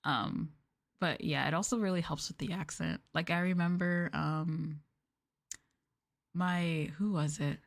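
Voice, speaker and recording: A young Canadian woman streaming late-night chat into a close mic, her voice sitting a little low for a woman. She rambles in a relaxed, giggly way, full of fillers, and often makes fun of herself. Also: measured pace, gravelly. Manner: rambling, giggly, self-deprecating, lots of "like" and "um". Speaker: VTuber streamer.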